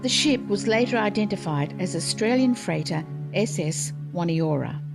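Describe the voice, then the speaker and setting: A woman giving scripted historical narration in a measured, formal manner. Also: medium pitch, animated, vocal fry. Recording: music playing behind.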